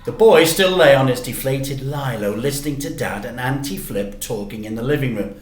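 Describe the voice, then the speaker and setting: A man narrating a children's story in an expressive, deliberate way. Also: mid-range voice. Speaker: storyteller, teacher. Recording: roomy, echoey sound.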